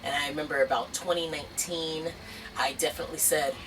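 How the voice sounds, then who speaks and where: A woman's voice: deep voice, steady tone, quick pace, recorded from a distance, noisy background. A young woman talking about her music in an earnest, rambling interview full of fillers and restarts. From United States. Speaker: singer-songwriter. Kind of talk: casual documentary interview.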